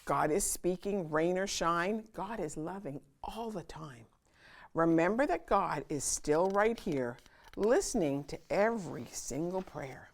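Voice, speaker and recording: A woman hosting a children's storytime, reading a picture book aloud in an expressive, sing-song manner with dramatic pauses. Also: lowish voice.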